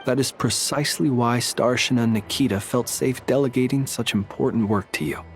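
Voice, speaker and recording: A man playing a formal, composed and encouraging comrade in a video game, speaking in measured, courteous phrases. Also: medium pitch, soft background music, close to an everyday voice.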